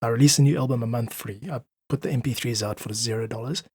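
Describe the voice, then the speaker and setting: A man's voice: mid-range voice, fairly even intonation, fast, a little mellow, slightly rough, no room sound, clean studio sound. A South African man speaking earnestly and articulately as an interview guest, explaining his ideas in conversation. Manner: earnest, articulate, uses analogies. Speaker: podcast guest, independent musician.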